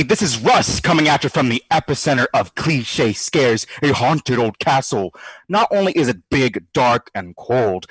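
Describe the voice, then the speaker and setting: An actor playing a cocky sceptic making a flippant, chatty audio diary in a drama. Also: male voice, medium pitch, husky, no room sound, low-quality recording. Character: cocky, sarcastic male sceptic recording himself on a ghost study.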